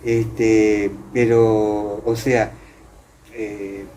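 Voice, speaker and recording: An older man with an Argentine accent from Entre Ríos talks through his memories. He speaks haltingly, repeating words as he searches for the next one, in a somewhat dark, soft-edged voice over faint background noise. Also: on the low side, flat delivery. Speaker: interviewee, former radio theatre actor. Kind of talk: reminiscing in a conversational interview.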